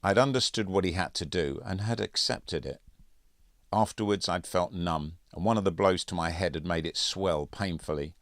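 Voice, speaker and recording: A man reading his own first-person literary story as an audiobook, in a measured, wry storytelling manner. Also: sits low, no echo, clean studio sound.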